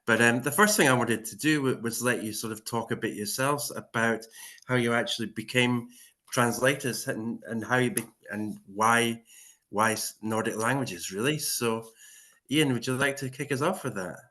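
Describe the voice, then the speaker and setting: A man hosting a live book panel, halting and hesitant, with many pauses. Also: medium pitch, pushed and bright. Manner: halting, many fillers and restarts. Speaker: panel host.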